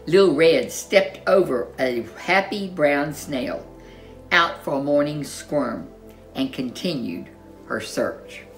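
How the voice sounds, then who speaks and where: A woman storyteller reading a children's storybook in an expressive, animated way, with dramatic emphasis. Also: low voice, slow, faint music behind.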